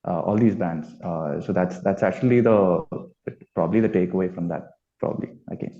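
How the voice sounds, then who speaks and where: A man's voice: deep voice, fairly quick, dark tone. A man with an Indian accent speaking bluntly and casually as a musician in an interview, circling back over his points. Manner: blunt, repetitive. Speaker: band musician being interviewed. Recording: dull, lo-fi audio.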